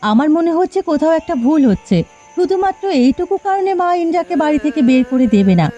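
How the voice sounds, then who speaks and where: A caring cartoon housewife acted by a woman with a warm, soft-edged tone. She coaxes gently but sounds determined. Music plays faintly underneath. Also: sits high, sing-song, quick pace, lo-fi sound. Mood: worried, determined. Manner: coaxing, gentle. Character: caring, determined housewife comforting her husband. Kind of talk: cartoon voice acting.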